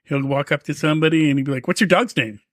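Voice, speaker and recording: A man in his fifties chatting casually on a podcast, his voice sitting a touch high for a man. He rambles and swears easily, circling back on his sentences. The sound is close and dry, with no room in it. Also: fast talker, fairly bright tone, no echo. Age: 50s. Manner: laid-back, swears often, rambling with restarts. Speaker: podcast co-host. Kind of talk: casual chat.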